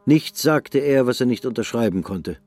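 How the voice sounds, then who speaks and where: A man narrating a radio drama in a measured, sober way. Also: mid-range voice, expressive, a little mellow, dry recording.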